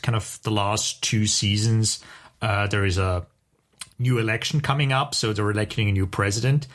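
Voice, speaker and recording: A man co-hosting a podcast, reviewing things in a casual, rambling and halting way. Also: fairly low pitch, a bit bright. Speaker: podcast co-host.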